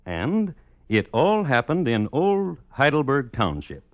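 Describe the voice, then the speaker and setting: An actor playing a dry, deadpan crime historian who hosts and narrates an old radio series with precise, formal wording. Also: male voice, medium pitch, slow, no echo, muffled recording. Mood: dry, wry. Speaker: host and narrator. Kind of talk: 1950s radio scripted narration.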